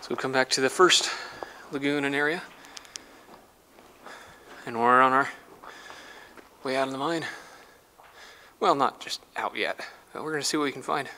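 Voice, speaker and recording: Relaxed man narrating inside a mine. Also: medium pitch, moderate pace, long pauses. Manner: relaxed, cautious, wry and curious. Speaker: mine explorer and vlogger. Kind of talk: casual exploration narration. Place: dark abandoned underground mine. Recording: noisy mine tunnel recording.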